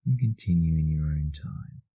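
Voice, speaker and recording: Very deep, dark-voiced Australian man guiding meditation. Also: expressive, dry recording. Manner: slow, soothing, with long pauses. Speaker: guided meditation instructor. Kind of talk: guided meditation narration.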